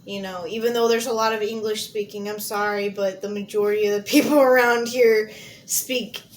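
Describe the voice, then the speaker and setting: A woman's voice: mid-range voice, steady tone, normal speed, poor audio. A young American woman from Montana giving candid, informal answers as an interviewee, thinking aloud as she goes. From Montana, United States. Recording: clear background noise, amateur audio log.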